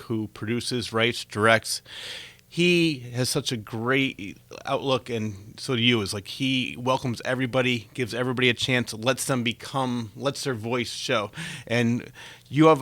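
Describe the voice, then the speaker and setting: A man's voice: mid-range voice, fairly bright tone, dry recording. A man hosting a conversational interview, speaking to his guest in an encouraging, complimentary way. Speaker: talk show host interviewing a guest.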